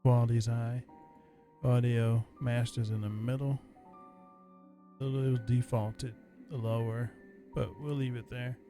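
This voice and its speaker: A man's voice: medium pitch, mellow. A man casually commenting on a horror game as he plays, musing aloud in a laid-back way. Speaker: gameplay streamer.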